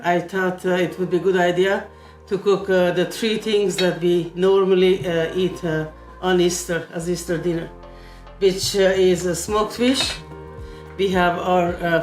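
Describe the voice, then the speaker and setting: A woman from Iran demonstrating a family recipe in her kitchen, explaining each step with frequent pauses and filler sounds. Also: fairly low pitch. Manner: unhurried, many filler "uh"s. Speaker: home cook. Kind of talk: cooking demonstration. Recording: echoey room.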